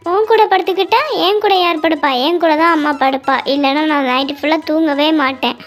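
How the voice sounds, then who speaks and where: Woman as a high-pitched bossy big sister. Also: fairly even intonation, brisk, faint music behind, lightly acted. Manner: demanding, taunting. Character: bossy, selfish elder sister, young girl.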